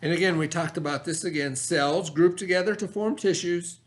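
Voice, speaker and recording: A man's voice: fairly high pitch, normal speed, slight background noise. A man teaching a read-aloud lesson for children, speaking instructively, repeating key words and prompting with questions.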